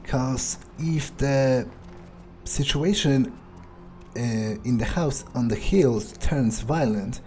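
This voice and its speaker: A man playing a video game in character, thinking aloud in an unhurried, musing way with trailing pauses. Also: mid-range voice, a little mellow, faint music behind. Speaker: gameplay streamer playing in character.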